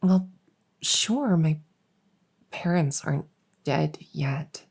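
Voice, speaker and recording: Woman acting a slow, husky, weary young princess. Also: deep voice, a little mellow, exaggerated voice. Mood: hesitant, wry. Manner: hesitant, halting, conversational. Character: young human princess, weary, dutiful, wistfully longing for freedom. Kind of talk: intimate audio roleplay acting.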